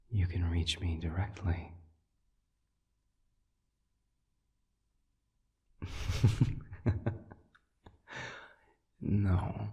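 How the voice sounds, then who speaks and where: A man voicing a calm, wise demon counselor in an intimate audio roleplay, soft and breathy, speaking in measured phrases with deliberate pauses. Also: exaggerated voice. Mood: shy, fond. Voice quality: breathy, whispery, very low.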